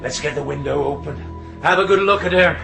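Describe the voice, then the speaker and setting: High-voiced, harried railway signalman father. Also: fairly quick, creaky, roomy sound, over music. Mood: businesslike, terse. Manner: brusque, exasperated. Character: harried, stern but devoted railway signalman father, middle-aged. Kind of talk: naturalistic radio drama acting.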